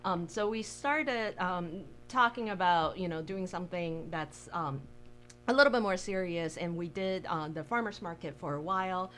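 A woman from Taiwan speaks as an interview guest in a slightly low voice. She tells things casually and haltingly, thinking aloud as she goes. There is faint noise and hum behind her. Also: a bit bright. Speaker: interview guest, bakery co-owner. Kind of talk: casual conversational storytelling.

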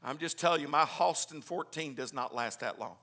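A man preaching a Pentecostal sermon, swinging from hushed, quick asides to shouted hallelujahs. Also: a little high. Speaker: pastor. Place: church.

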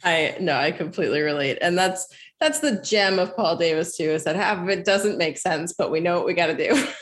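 A woman interviewing a colleague in a relaxed conversation, laughing along and reassuring her. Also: fairly low pitch, moderate pace. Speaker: interviewer, coworker. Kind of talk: casual conversation.

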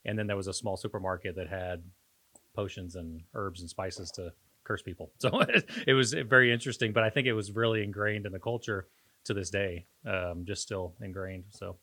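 A man co-hosting a podcast in casual, reflective conversation, pausing and searching for his words as he talks. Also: low-pitched, steady tone, bright, forceful tone. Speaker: podcast co-host.

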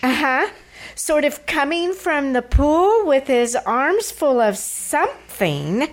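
A woman's voice: high voice, very animated, takes it slowly, heavily acted. A woman playing a nosy, tattling kid sister in a 1940s-style radio comedy. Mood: coy, tattling.

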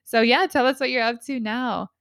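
A woman's voice: fairly high pitch, steady tone, moderate pace, a bit bright, clear, resonant tone, no room sound, studio quality. A woman hosting a podcast interview, enthusiastic and upbeat, laughing often with her guest. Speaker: podcast host. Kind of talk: casual interview chat.

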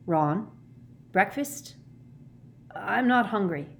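Woman acting a lovesick teenage boy, breathless, dreamy, hot-tempered, pitched up, storybook dialogue, noisy background. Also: animated, drawn-out words, creaky, full character voice. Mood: glum, evasive. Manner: breathless, sighing, overwrought delivery. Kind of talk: dramatised storybook reading.

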